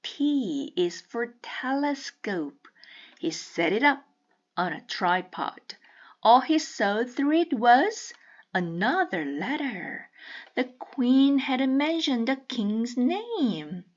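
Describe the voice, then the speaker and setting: A woman reading a children's picture book aloud with deliberate, teacherly enunciation. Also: on the high side, sing-song, dull audio. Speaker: storybook reader.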